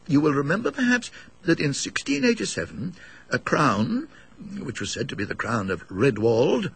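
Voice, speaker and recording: Man acting elderly English rector, ghost story, very raspy, creaky, talkative, donnish, musing, kindly. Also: mid-range voice, fairly bright tone, heavily acted. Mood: explanatory. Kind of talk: dramatised ghost story reading.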